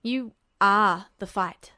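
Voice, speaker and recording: A woman voicing a pensive, cryptic teenage boy soldier in a story, speaking in cold, deliberate, clipped phrases. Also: mid-range voice, sharp tone, dry recording, studio quality, pitched below the performer's own voice. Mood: grave, intense. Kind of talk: audiobook character dialogue.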